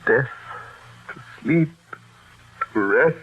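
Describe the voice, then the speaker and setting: An actor playing a haunted, fearful refugee who broods haltingly in an old-time radio drama. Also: male voice, mid-range voice, animated, breathy edge, narrow, tinny audio, exaggerated voice. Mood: brooding, haunted. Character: haunted, tormented refugee man who remembers past lives.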